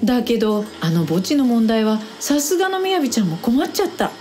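A woman's voice: fairly high pitch, sing-song, a little fast, darkish tone. An actress playing a tipsy, lovelorn woman in a radio drama, sighing yet polite. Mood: wistful. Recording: music behind the voice.

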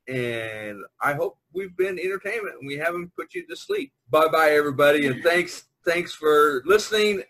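A man hosting a podcast in a casual, rambling, easygoing group chat with a husky voice. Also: a little high, fairly bright tone, rough recording. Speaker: podcast host. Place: online video conference.

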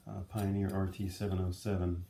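A man's voice: sits low, level tone, a little fast, creaky, dark tone, slight background noise. A middle-aged man giving a casual, unscripted demonstration, talking conversationally and thinking aloud as he handles things. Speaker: vlogger, vintage audio hobbyist. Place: room at home, indoors.

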